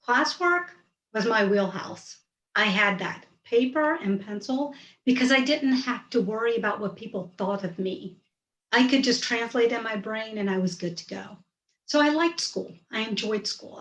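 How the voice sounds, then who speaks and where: A woman's voice: a little high, fairly bright tone, echoey room, low-quality recording. A woman in her fifties from rural Georgia, whose first language is Hindi, telling a personal story in vivid, scene-setting detail over a video call. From rural Georgia, USA.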